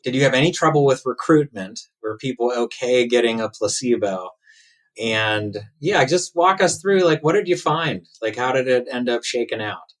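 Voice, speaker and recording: A man's voice: mid-range voice, lively intonation, moderate pace, low-quality recording. A man hosting a podcast interview, informal and conversational, stringing several questions together.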